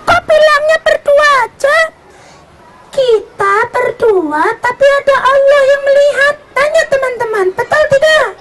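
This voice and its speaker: A storyteller voicing a pious, stubborn, innocent little girl in a live children's story, with exclamations and drawn-out words. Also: very high voice, very noisy, voice pushed up. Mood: firm, upset. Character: pious, honest little girl minding a poultry farm.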